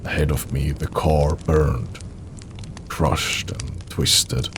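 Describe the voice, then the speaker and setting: A man narrating an audio drama in the first person, with a somber, measured, dramatic delivery in short phrases and a gravelly voice. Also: rumbling low, fairly even intonation, noisy background. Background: background music.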